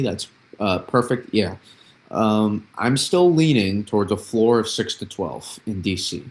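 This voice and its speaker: A man with vocal fry giving casual live weather commentary, often halting and restarting his words as he thinks. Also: lowish voice, darkish tone. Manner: halting, repeats and restarts words mid-thought. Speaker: livestream weather analyst.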